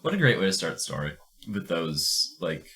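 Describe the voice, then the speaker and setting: A man's voice: sits low, very animated, normal speed, sharp tone. A man hosting a fiction podcast, reading a story aloud and commenting on it. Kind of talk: dramatic story narration and casual commentary.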